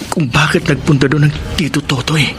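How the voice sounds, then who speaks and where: A man's voice: fairly high pitch, steady tone, brisk, a bit bright, a little hiss or hum, lightly acted. An actor playing a curious, adventurous young man from the city in a radio drama. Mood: suspicious, curious. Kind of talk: radio drama acting with hushed inner narration.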